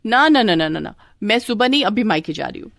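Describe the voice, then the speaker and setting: A woman's voice: medium pitch, sing-song, a little fast, gravelly, fairly bright tone, no room sound, clean studio sound. A woman voicing a childish, stubborn, melodramatic young married woman in a cartoon, with whiny complaints. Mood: indignant, stubborn.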